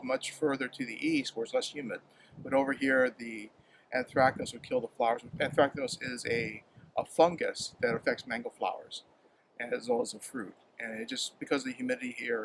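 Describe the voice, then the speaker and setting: A man from Hawaii, a home fruit grower, talking in a halting, casual way, often repeating words and filling pauses with um, recorded outdoors in a yard. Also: medium pitch, gravelly, a bit bright, slight background noise, poor audio. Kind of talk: casual interview.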